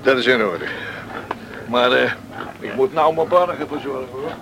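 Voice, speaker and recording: A man's voice: medium pitch, normal speed, vocal fry, background noise, lightly acted. A man acting in a Dutch radio drama as an obliging island boatman and farmer, plain-spoken and informal. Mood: matter-of-fact.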